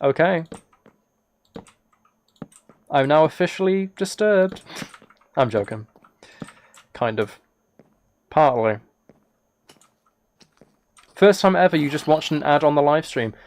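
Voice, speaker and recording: A man's voice: fairly high pitch, animated, slow pace, pauses often. A man live streaming a game, rambling and thinking aloud as he chats casually with viewers. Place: indoors at a computer, keyboard clicks behind.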